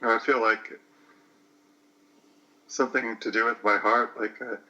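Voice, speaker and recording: A man in his late forties talking slowly through a personal reflection, hesitant and searching for words. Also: medium pitch, flat delivery, pushed and bright, lo-fi sound. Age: 40s.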